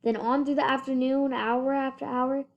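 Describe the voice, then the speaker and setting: High-pitched young-sounding female story reader. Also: moderate pace, creaky, lo-fi sound. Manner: animated rhyming delivery with whispered asides. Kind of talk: children's picture book read-aloud.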